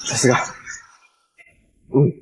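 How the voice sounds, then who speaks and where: An actor playing a cheerful, gentle young adventurer hero in a live-action TV drama, speaking in a friendly, earnest, casually polite way. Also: male voice, takes it slowly, close to an everyday voice. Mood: upbeat, determined. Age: young adult. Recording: faint background noise on TV dialogue.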